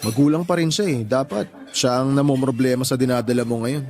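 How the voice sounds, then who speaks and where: A man's voice: medium pitch, brisk, a little mellow, soft background music, lightly acted. A man acting in a radio drama as a supportive, easygoing young boyfriend, casual and concerned.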